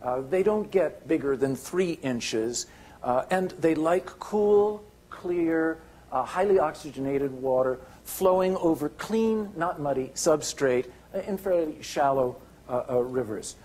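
An older man giving a keynote lecture with slides, halting, with many restarts and fillers. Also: a little high, expressive, a little mellow. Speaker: keynote speaker. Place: lecture hall.

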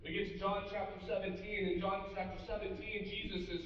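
Middle-aged pastor guy preaching, kinda high voice, earnest, restarts a lot, far from the mic in a big hall, faint hiss. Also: level tone, brisk, a bit bright. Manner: earnest preaching with frequent restarts, addressing the congregation directly. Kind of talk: sermon. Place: large church auditorium with a seated congregation. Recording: echoey, muffled hall audio from a distance.

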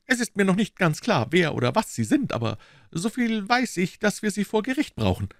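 A blunt, prosaic police inspector in a storybook, brusque and pressing, as a male reader puts him on. His voice is pushed up above the reader's own, with a lively swing, recorded dry and close. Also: high-pitched, brisk, creaky, no room sound, pitched above the performer's own voice, exaggerated voice.

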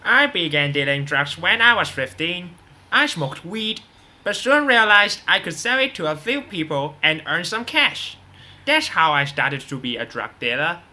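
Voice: mid-range voice, sing-song, a little slow, pushed and bright, full character voice. A performer playing a brash, unrepentant drug boss on the run in a radio drama, boastful and dismissive. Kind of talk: scripted radio drama interview.

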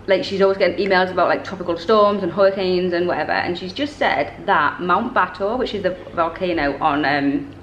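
A woman's voice: on the low side, fairly quick, hardly any pauses, echoey room, muffled recording, a little hiss or hum. A woman, talking casually to a handheld camera in a travel vlog, chatty and rambling, often correcting herself mid-sentence. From northern England. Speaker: travel vlogger. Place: hotel room indoors and busy street outdoors. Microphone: camera held at arm's length.

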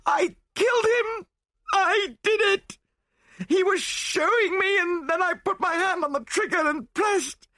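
A man's voice: very high voice, a little slow, pushed and bright, no room sound, clean studio sound, voice pushed up, full character voice. A man voicing a terrified, hysterical married woman in an audiobook, gasping and melodramatic. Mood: hysterical, frantic.

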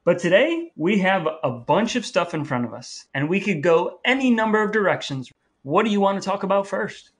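A man's voice: high voice, sing-song, normal speed. A man co-hosting a podcast in a chatty style, with a wry, mock-formal manner. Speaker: podcast co-host.

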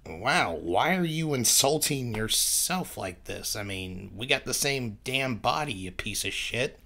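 A man's voice: mid-range voice, animated, a little slow, bright, forceful tone, full character voice. A man playing a meek, wounded person arguing with his own reflection, hesitant, stammering and defensive. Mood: deflated.

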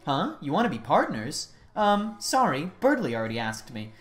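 A woman's voice: low voice, sing-song, moderate pace. A woman voicing a friendly, timid teenage monster girl in a video game, polite and hesitant in manner.

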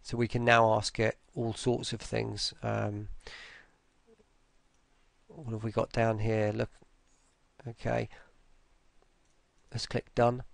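A man presenting a software tutorial in a casual, informal way, thinking aloud as they go. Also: lowish voice, level tone, takes it slowly, vocal fry, pauses often, no room sound. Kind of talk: casual screen-recorded walkthrough.

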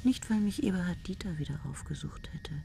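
A woman's voice: on the low side, expressive, a little fast, gravelly, noisy background. A woman narrating a 1970s radio play in a dry, musing inner monologue. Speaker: first-person narrator.

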